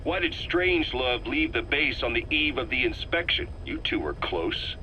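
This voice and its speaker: An actor playing a hard, accusing military interrogator in a video game, stern and relentless with deliberate emphasis. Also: male voice, medium pitch, very animated, pushed and bright, slight background noise, poor audio. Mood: bitter, pressing.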